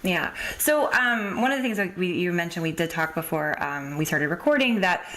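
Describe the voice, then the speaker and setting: Low-pitched woman hosting a podcast. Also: animated, moderate pace, a bit bright, low-quality recording. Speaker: podcast host. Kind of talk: casual chatty interview.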